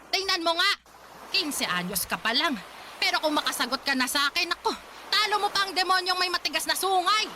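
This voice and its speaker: A woman's voice: very high voice, expressive, a little fast, pushed and bright, slight background noise, full character voice. An actress in a radio drama playing a cruel, pious, stingy old grandmother who scolds and nags. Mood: scolding, self-righteous. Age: older. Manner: scolding, sanctimonious, nagging. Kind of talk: Tagalog radio drama acting.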